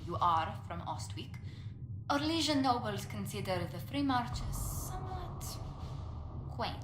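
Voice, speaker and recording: A woman's voice: on the high side, big pitch swings, unhurried, noisy background. A woman playing a poised, businesslike diplomatic advisor in a video game, formal, polite and precise. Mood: businesslike, tactful. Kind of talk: video game dialogue acting. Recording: echoey game capture, clear background ambience.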